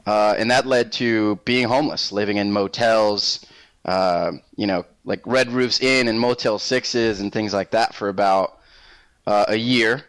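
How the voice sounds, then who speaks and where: Man, low voice, native Spanish speaker from Puerto Rico, interview guest, reflective conversational storytelling. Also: a bit bright, clean tone, dry recording. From Puerto Rico, later Massachusetts.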